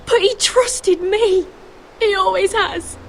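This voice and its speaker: A woman's voice: very high voice, fairly even intonation, takes it slowly, gravelly, slight background noise, exaggerated voice. An actress playing an anguished, grieving young noblewoman in a dramatic game, emotional and pleading. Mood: grieving, bitter. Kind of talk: dramatic video game voice acting.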